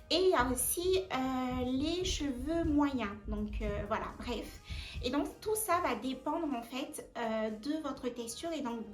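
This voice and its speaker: A woman, chatting casually and hesitantly to camera as a vlogger sharing advice. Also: high voice. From French Guiana. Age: 20s. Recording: echoey room.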